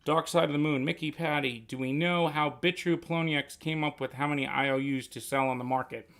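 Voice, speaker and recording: A man's voice: on the high side, steady tone, fairly quick, a bit bright. A man hosting a casual livestream, reading viewer questions and explaining at length. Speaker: livestream host.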